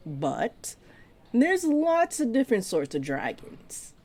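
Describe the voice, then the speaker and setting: A woman playing a flirty, possessive part-dragon librarian in an intimate roleplay audio drama, speaking to the listener in a teasing, deliberate way. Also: on the high side, huge pitch swings, darkish tone, full character voice. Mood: chatty, explaining. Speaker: voice actor.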